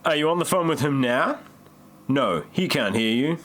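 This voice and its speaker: A man's voice: mid-range voice, animated, normal speed, fairly bright tone, natural voice. A man playing a flippant hospital doctor in a parody audio play, mock-grave and wry.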